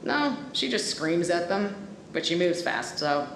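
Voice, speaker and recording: An actress playing a brisk, pragmatic colleague coordinating an emergency in an audio drama, terse and dry in manner. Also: female voice, lowish voice, very animated. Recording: roomy echo, faint background noise.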